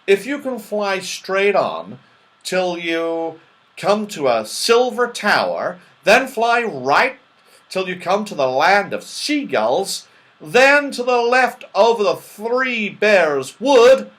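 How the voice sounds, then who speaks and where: A man voicing a bold, cheeky magical moon-faced man from a storybook, in a loud, declamatory way. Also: sits high, big pitch swings, takes it slowly, pitched above the performer's own voice, full character voice. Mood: helpful, confident.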